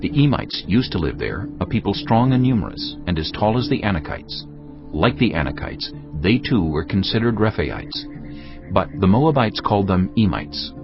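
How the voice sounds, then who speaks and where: A man's voice: fairly low pitch, dull audio. A man narrating scripture as part of a dramatized audio production.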